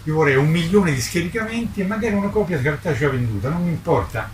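A man's voice: on the high side, lively intonation. Emphatic older man, distant and echoey. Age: over 60. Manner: emphatic, declamatory, with halting repetitions. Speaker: book publisher. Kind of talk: impassioned public talk at a book presentation. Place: book presentation before an audience, recorded from a distance. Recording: roomy, distant mic with clear background noise.

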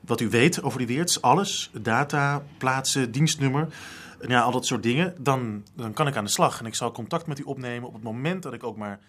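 An actor playing an eager, polite young student art sleuth in a radio drama, speaking earnestly with some hesitation. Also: male voice, mid-range voice, brisk, fairly bright tone, close to an everyday voice. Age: young adult.

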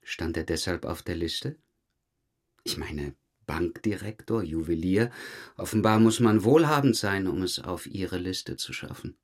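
A man's voice: low voice, lively intonation, a little slow. A man acting a police detective in a crime audio drama, dry and pointedly questioning. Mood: probing. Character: male police detective, probing, dryly insistent.

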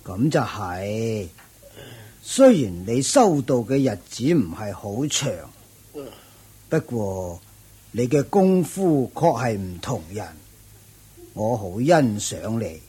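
A solicitous, admiring holy man in a radio drama. His very rough voice swings widely in intonation. He speaks slowly and courteously at a medium pitch. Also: big pitch swings, warm tone, heavily acted. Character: solicitous, admiring fellow male religious practitioner. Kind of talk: Cantonese radio drama acting.

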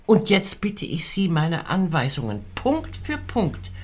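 An actress playing a composed, commanding lady who leads an expedition, speaking formally and with measured authority in a radio drama. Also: fairly low pitch, huge pitch swings, a little mellow, husky, exaggerated voice. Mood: instructing.

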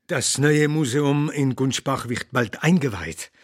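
An actor playing an elderly, outspoken humanitarian doctor in a radio play, husky and deliberate, with dry humour. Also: male voice, medium pitch, no echo, close to an everyday voice. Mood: dry, modest. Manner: deliberate, opinionated, dryly humorous. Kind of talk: radio drama in the form of a talk show interview.

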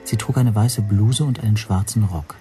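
A male actor as an uneasy, watchful hotel guest narrating a radio play in a low, soft-edged voice. He is measured, quiet and exact, with faint music behind him. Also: close to an everyday voice. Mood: observant, measured. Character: nervous, observant male hotel guest telling his story. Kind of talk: scripted first-person radio drama narration.

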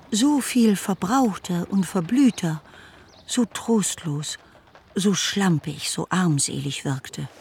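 A man narrating a classic romance novel for a radio play in a measured, articulate storytelling manner. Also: sits high, a little mellow, slight background noise.

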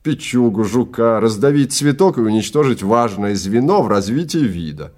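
A man acting a stern, emphatic safari guide, swooping pitch. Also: lively intonation, normal speed, darkish tone, close to an everyday voice. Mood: grave warning. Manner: emphatic, lecturing, commanding. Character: stern, contemptuous male time-travel safari guide. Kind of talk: Russian audio drama acting.

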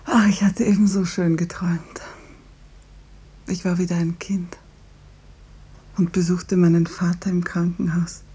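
An actress in a 1950s radio drama playing a suffering, bravely resigned dying patient, with a breathy, often half-whispered voice. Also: female voice, fairly low pitch, level tone, a little slow, pauses often, mellow. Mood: weak, tender, resigned.

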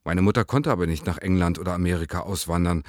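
An actor playing a resentful man reading a measured, accusatory letter to his partner in a radio play. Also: low voice, darkish tone, no room sound, studio quality, natural voice. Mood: bitter, contemptuous.

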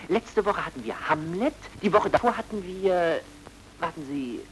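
Radio play casting: a pompous, talkative culture bureaucrat, lively and over-hospitable. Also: male voice, sits high, normal speed, a little hiss or hum, low-quality recording. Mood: pompous, eager. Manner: busy, pompous, eagerly hospitable. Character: fussy, garrulous, self-important cultural propaganda official. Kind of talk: mid-century German radio comedy-thriller acting.

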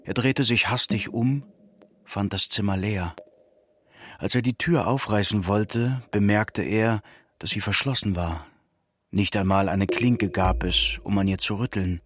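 A male narrator with a fairly low, noticeably husky voice. He delivers scripted literary prose for a radio play in a measured way.

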